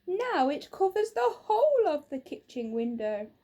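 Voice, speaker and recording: A woman's voice: high-pitched, very animated, a little slow, mellow, voice pushed up, heavily acted. A woman voicing a fretful, complaining housewife in a storybook, with a plaintive, emphatic delivery. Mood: tearful, upset. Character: fretful, complaining housewife, prone to tearful wailing.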